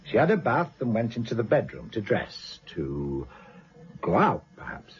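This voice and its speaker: Gruff sardonic British inspector, swooping delivery. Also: male voice, fairly low pitch, huge pitch swings, normal speed, lo-fi sound. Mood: weary, insistent. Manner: blunt, sardonic questioning. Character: gruff, world-weary, sardonic male police inspector. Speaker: police inspector. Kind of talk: radio drama acting.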